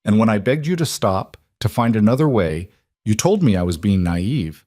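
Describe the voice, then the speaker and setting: A man's voice: on the low side, lively intonation, moderate pace, a little mellow, no room sound, studio quality. Man voicing a defiant, wounded estranged wife. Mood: hurt anger. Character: defiant, hurt pregnant wife of a mafia boss. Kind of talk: dramatic audiobook dialogue.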